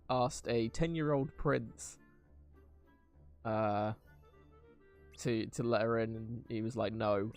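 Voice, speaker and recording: A man's voice: mid-range voice, a little mellow. A young man giving casual live gameplay commentary, rambling hesitantly in an amused way. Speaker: game streamer. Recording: game music behind voice.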